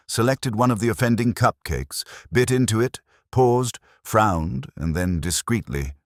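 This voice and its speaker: A middle-aged man reading a scripted comic mystery story in a dry, measured, wryly grave manner. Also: fairly low pitch, no echo. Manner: dry, measured, wry mock-gravity. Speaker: narrator.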